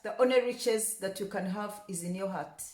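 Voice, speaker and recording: Young woman from Rwanda, casual vlog delivery, repeats words for emphasis, mid-pitched, echoey room sound. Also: moderate pace, darkish tone. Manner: chatty, repeats words for emphasis, grows passionate. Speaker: vlogger. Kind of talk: casual talk to camera.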